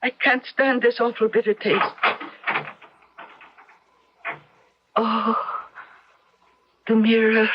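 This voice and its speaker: A woman's voice: on the high side, flat delivery, unhurried, background noise, close to an everyday voice. A woman playing a vain, nervous and defiant former ballerina of fifty in a radio drama, theatrical and intense. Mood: anguished. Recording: dull old radio recording.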